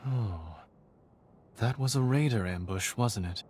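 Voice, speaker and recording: An actor playing a courteous, unflappable male researcher in a video game, speaking in a polite, measured, genteel manner. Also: medium pitch, darkish tone, a little hiss or hum, natural voice. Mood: polite, unruffled. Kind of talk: video game cutscene acting.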